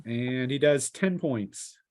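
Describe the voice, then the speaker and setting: A man's voice: medium pitch, slow, a little mellow. A man running a tabletop role-playing game as game master, informally narrating combat and explaining rules on an online call. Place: home, online group call. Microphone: close microphone on an internet call.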